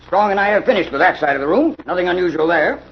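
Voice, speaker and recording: Peevish male professor: high, creaky, quick and curt, vintage radio drama. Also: expressive. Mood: testy, curt. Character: testy male professor judging a seance. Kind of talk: 1940s radio mystery acting. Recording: muffled old radio recording.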